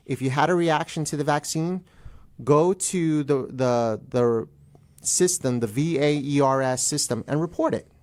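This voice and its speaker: Male legal guest for a talk show, medium pitch, explains conversationally with emphatic repetition. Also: darkish tone.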